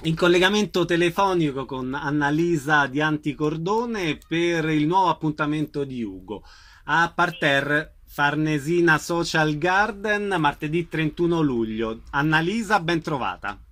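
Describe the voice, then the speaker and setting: A man's voice: on the high side, expressive, measured pace, fairly bright tone. A man hosting a conversational radio interview, putting questions to a guest.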